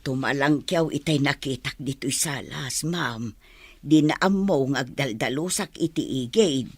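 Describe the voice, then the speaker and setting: A woman's voice: a bass voice, measured pace, husky, no room sound, heavily acted. A woman playing a cheeky household maid who talks back plainly and defiantly in an audio drama.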